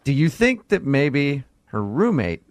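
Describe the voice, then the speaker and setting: A man's voice: medium pitch, sing-song, measured pace, no echo, studio quality. A man hosting a radio show, bantering casually in a teasing, wry way.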